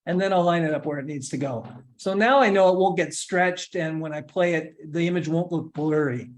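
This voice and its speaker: Male instructor in his forties, casual tutorial delivery, step-by-step with light humor, slightly high voice. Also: moderate pace. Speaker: instructor giving a software demo. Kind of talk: screen-share tutorial, casual explanation.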